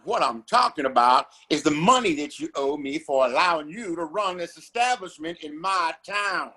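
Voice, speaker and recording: A man's voice: fairly high pitch, lively intonation, moderate pace, pushed and bright. A man playing an oily, pompous mayor in a Western audio play, with falsely courteous speech. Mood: smug, demanding. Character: smug, oily Old West town mayor.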